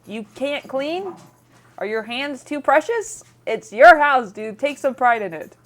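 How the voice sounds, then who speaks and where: A woman hosting a gaming video, animated and joking as she reacts and reads game dialogue aloud. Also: sits high, measured pace. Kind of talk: playthrough commentary, reading game dialogue aloud.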